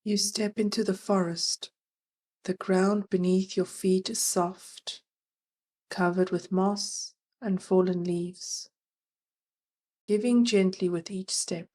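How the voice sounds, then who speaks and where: A woman narrating a guided meditation as its guide, gentle and soothing, leaving long pauses between phrases. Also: mid-range voice, fairly even intonation.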